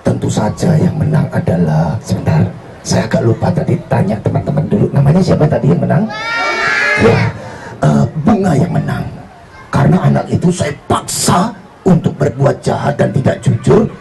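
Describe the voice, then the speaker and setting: A man playing a sly mustached tempter in a live children's story, with a gravelly, wheedling, theatrical delivery. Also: mid-range voice, sing-song, moderate pace, dark tone, faint music behind. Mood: conceding.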